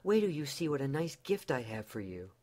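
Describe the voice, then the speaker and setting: A man's voice: fairly high pitch, big pitch swings, fairly quick, a little mellow, voice pushed up. A man voicing an anxious, loving young wife in a story, pleading earnestly with her husband. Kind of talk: storybook character voice.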